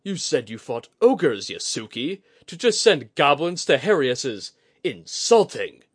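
A man's voice: on the high side, big pitch swings, unhurried, breathy edge, pitched above the performer's own voice, full character voice. A man voicing a battle-hungry, contemptuous military commander in a fantasy audiobook, roaring, growling and barking. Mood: disgusted.

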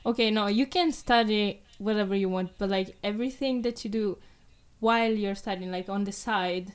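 A woman's voice: medium pitch, moderate pace, clear, resonant tone. A young woman, hosting a casual chat and rambling with many fillers. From Europe.

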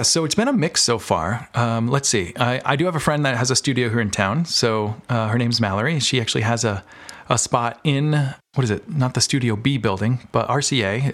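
A man's voice: mid-range voice, a bit bright. Man explaining his craft in conversation. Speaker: podcast guest, audio drama maker. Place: small bedroom with carpet, curtains and bare walls.

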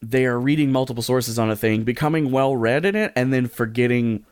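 A man's voice: mid-range voice, a little fast, clean tone, no echo, clean studio sound. A man co-hosting a podcast, giving casual advice in a rambling, jokey way and often interrupting himself. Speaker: podcast co-host.

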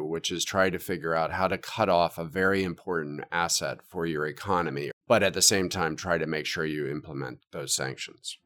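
A deep-voiced man hosts an economics podcast and lays out his points one by one in a calm, explanatory way. His voice sits very low, and the delivery stays measured throughout. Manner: measured, explanatory, lists takeaways. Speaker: podcast host. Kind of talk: economics interview and wrap-up.

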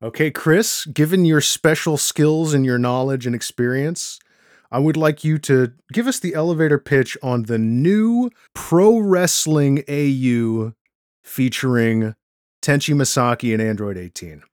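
A man's voice: medium pitch, unhurried, a little mellow, dry recording, studio quality. A man hosting a casual podcast, explaining the rules of a game in a joking way. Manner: jokey, explains rules with restarts. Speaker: podcast host running a game.

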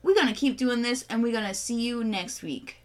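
A woman's voice: a little high, brisk. A young woman co-hosting a casual beer-tasting podcast, joking and swearing freely as she rates beers. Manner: swears freely, loose and playful. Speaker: podcast co-host.

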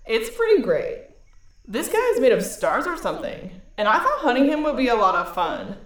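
A man's voice: very high-pitched, very animated, normal speed, fairly bright tone, reverberant, voice pushed up, full character voice. A man voicing a huge female cat queen who talks in casual valley-girl slang, chatty and offhand. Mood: breezy.

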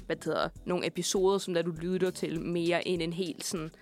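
En ung mand, der vært i et radiostudie og snakker løst og fyldord-tungt. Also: male voice, on the high side, flat delivery. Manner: rambling, many fillers and restarts. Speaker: radio host. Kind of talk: casual studio chat. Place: student radio studio.

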